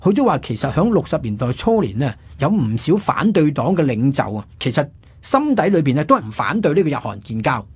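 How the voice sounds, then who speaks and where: A husky man with a slightly high voice hosts a history show in Hong Kong Cantonese. He explains things conversationally, stretching out particles at the ends of phrases. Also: sing-song, a little fast. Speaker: radio programme host.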